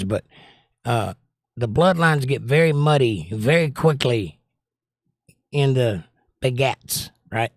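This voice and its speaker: Slightly hoarse, chatty middle-aged man. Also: mid-range voice, no room sound, clean studio sound. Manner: chatty, joking, teasing. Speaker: livestream host. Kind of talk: casual chat reading viewer comments aloud.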